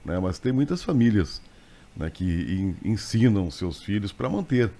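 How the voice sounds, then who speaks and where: Mellow low-voiced Brazilian radio host. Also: male voice, very animated, moderate pace. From southern Brazil. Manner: interested, affirming with short replies. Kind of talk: radio interview. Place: radio studio.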